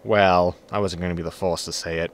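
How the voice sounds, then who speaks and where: A man playing a weary, dry-witted spaceship captain in a sci-fi comedy audio drama, deadpan in manner. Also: low-pitched, a little fast. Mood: wry.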